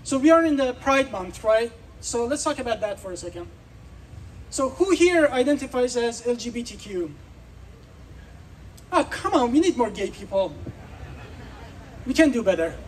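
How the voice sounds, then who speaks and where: A man's voice: very high voice, very animated, normal speed, pauses often, distant mic, slight background noise, low-quality recording. A man from Iran giving an earnest, persuasive speech at an outdoor festival, putting questions to the crowd. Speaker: speaker.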